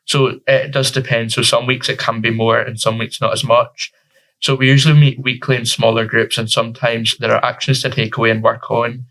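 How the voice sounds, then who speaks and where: A man's voice: medium pitch, level tone, brisk, a bit bright, poor audio. A teenage boy with a Scottish accent, answering interview questions informally as a youth councillor, with frequent fillers. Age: teens.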